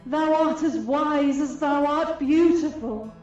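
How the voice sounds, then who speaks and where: Woman acting a lovestruck fairy queen, grand slow verse, radio play, big echo. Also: high voice, a little mellow. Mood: enchanted, adoring. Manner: stately, declaimed verse. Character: enamoured regal fairy queen, commanding and sensual. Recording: echoey, slightly dull audio, music behind.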